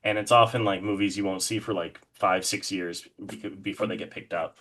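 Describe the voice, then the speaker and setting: Low-voiced man in casual, enthusiastic chat. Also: steady tone, normal speed, a bit bright. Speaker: podcast guest, horror artist.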